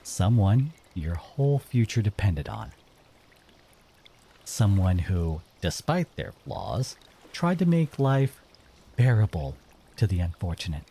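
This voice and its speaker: Man playing stern, bitter merman interrogator, swooping pitch. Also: very animated, mellow, a little hiss or hum, heavily acted. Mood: stern, menacing. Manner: stern, accusing, dramatic pauses. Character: bitter exiled merfolk man interrogating a captive hunter. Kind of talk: audio drama acting.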